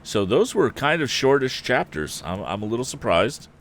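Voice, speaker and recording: A man's voice: medium pitch, very animated, moderate pace, fairly bright tone, a little hiss or hum. A man hosting a story stream, reading a book expressively and chatting casually between passages. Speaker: storytelling stream host, reader. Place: indoor live stream.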